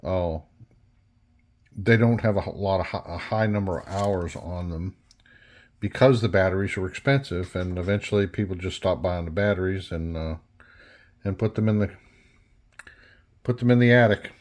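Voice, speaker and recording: A man giving an unscripted workbench walkthrough as a hobbyist restorer, halting and thinking aloud as he goes. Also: low-pitched, darkish tone. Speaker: hobbyist restorer presenting a repair.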